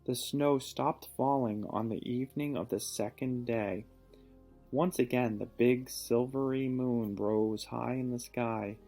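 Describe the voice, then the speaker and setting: A man reading a picture book aloud to a child, pausing to ask questions and give patient encouragement. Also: mid-range voice, fairly even intonation, warm tone, faint music behind. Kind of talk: storybook read-aloud with teaching asides.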